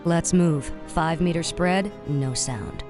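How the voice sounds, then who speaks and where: Low, sly elf archer. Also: female voice, slow pace, over music. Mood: dry, commanding. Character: tough, sly female night elf archer. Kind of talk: video game unit barks.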